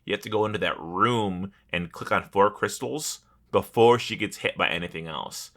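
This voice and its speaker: A man's voice: on the low side, moderate pace. A man co-hosting a casual gaming podcast, telling stories in a blunt, profanity-laced way. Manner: profane, blunt, animated storytelling. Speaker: podcast co-host. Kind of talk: casual chat about online gaming.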